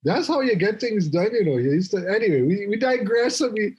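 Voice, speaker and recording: A man's voice: high voice, animated, fairly quick, darkish tone, husky. Trinidadian guy, rambling podcast chat. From Trinidad and Tobago. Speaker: podcast co-host. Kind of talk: casual chat. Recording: muffled lo-fi podcast audio.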